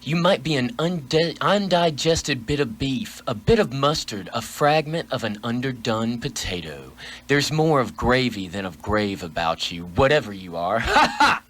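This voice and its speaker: A frightened miserly businessman, acted by a man in a radio drama. His voice is bright and tense at a middle pitch, with a theatrical, pleading, stammering delivery. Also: expressive, measured pace. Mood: nervous scoffing. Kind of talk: theatrical radio play acting.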